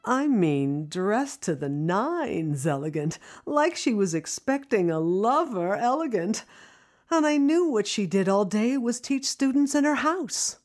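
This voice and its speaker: A woman narrating a scripted first-person audio drama in an expressive, reflective storytelling manner. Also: mid-range voice, a little slow. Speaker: narrator, singing student.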